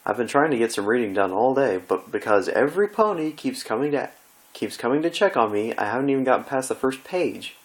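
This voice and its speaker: A man's voice: mid-range voice, big pitch swings, fairly quick, pitched above the performer's own voice, natural voice. This is a bookish young female pony from a cartoon fan story, played by a male reader. The delivery is reassuring and matter-of-fact. A mild impatience sits under the patient manner. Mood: patient, mildly annoyed. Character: studious young female pony, patient but mildly annoyed.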